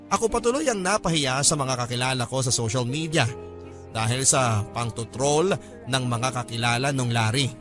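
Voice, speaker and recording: A male radio storyteller reads a personal letter in a classic Filipino drama style. His mid-pitched voice is polite and measured. Music plays clearly behind him.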